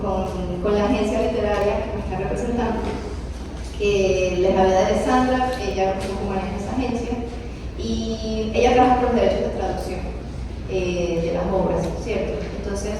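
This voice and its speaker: A woman author answering audience questions in a chatty way, restarting phrases and laughing easily. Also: fairly high pitch. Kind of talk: public Q&A talk. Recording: echoey hall, mic from far off, noisy.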